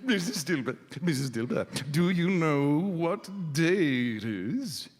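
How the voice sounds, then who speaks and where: A man's voice: a little high, sing-song, slow pace. A man playing a reformed old miser in live radio theatre, stammering, exclaiming and full of joy and gratitude. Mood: merry. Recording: reverberant hall, live stage performance.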